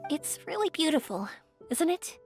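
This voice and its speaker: Young woman, anime game heroine, high voice, cheerful, sincere, expressive, background music. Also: normal speed. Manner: earnest, expressive. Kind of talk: anime video game voice acting. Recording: music playing behind.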